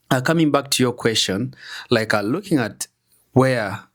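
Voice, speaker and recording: A man's voice: mid-range voice, unhurried. A young man from Uganda, a fashion designer, talking casually in an interview, with lots of fillers and restarts. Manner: relaxed, storytelling with frequent fillers and restarts.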